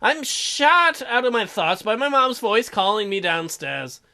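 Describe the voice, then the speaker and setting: A man's voice: high voice, expressive, unhurried, gravelly, pushed and bright. A man hosting a gaming video, reading narration aloud and adding joking asides. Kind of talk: narrating and joking through a visual novel.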